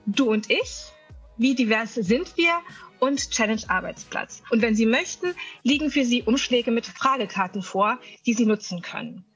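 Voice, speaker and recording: Female host, higher voice with husky edge, scripted intro delivery, slightly muffled recording. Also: lively intonation, moderate pace, a bit bright, soft background music.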